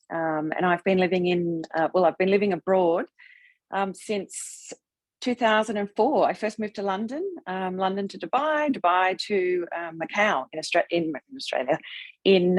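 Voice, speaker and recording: A woman's voice: mid-range voice, no echo. A woman speaking as a webinar panelist over a video call, earnest and hesitant, with frequent ums. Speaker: panelist, sales executive. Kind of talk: conversational webinar answer.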